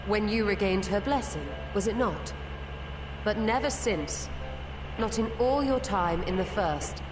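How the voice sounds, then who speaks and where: A woman playing a composed, perceptive sage in a fantasy game, speaking formally and deliberately. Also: medium pitch, background noise, close to an everyday voice. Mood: serious, probing. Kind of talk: dramatic video game cutscene acting. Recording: roomy echo.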